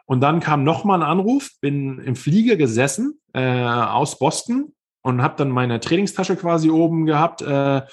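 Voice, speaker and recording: A man's voice: a little high, expressive, normal speed, husky. A man in his thirties, a former professional football player, telling his career story as an interview guest in long, rambling sentences with frequent hesitations.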